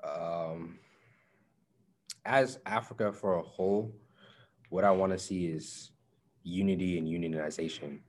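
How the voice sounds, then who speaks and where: A young man with a Liberian accent speaks as a guest in a casual talk. His voice sits a little low, and his manner is relaxed, often getting softer as his sentences trail away. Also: unhurried, long pauses. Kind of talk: casual discussion.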